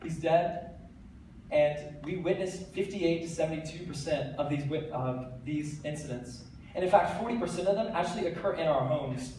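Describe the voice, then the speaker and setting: Earnest American teen in an echoey hall. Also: male voice, a little high, normal speed. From United States. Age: teens. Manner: earnest, energetic, with light self-deprecating jokes. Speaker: TEDx speaker. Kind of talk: stage talk to a live audience. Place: large hall. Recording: very echoey hall, voice from a distance, faint background noise.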